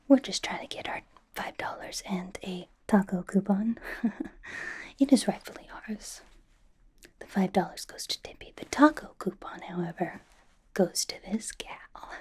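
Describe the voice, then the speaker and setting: A woman's voice: medium pitch, darkish tone, slightly rough. A woman playing a quirky, playfully suspicious young superheroine in an ASMR roleplay, coaxing and questioning in an airy whisper. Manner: coaxing, playful mock-interrogation, repeats phrases. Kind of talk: ASMR roleplay, personal attention.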